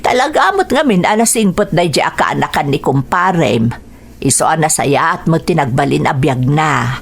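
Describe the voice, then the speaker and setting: A woman's voice: low-pitched, animated, normal speed, vocal fry, husky, exaggerated voice. An actress with an Ilocano accent playing a fussy, exclamatory middle-aged village mother in a radio drama.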